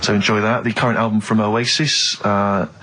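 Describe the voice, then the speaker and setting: A man's voice: fairly low pitch, level tone, normal speed, pushed and bright. A man casually co-presenting a radio show in a wry, articulate way. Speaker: radio co-host. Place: radio studio.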